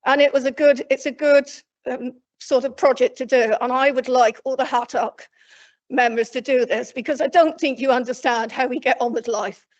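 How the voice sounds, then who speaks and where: A woman from Cornwall speaking loudly and plainly from memory to a council committee, rambling with frequent restarts. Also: high-pitched, steady tone, moderate pace. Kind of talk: unscripted address from memory. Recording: dull lo-fi council meeting recording.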